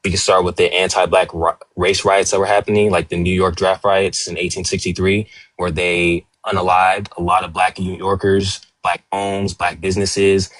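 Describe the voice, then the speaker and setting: Brief: panelist, male, very low monotone voice, dry close sound. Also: moderate pace, hardly any pauses, dry recording. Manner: steady, earnest argument. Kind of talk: live online panel debate. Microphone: remote call audio.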